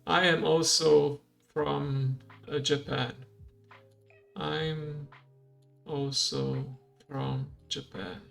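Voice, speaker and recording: Streamer who talks a lesson through slowly; slightly higher voice with a gravelly edge. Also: male voice, level tone, fairly bright tone, soft background music. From Romania. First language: Hungarian. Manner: slow, thinks aloud, explains step by step. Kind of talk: live-streamed language lesson playthrough.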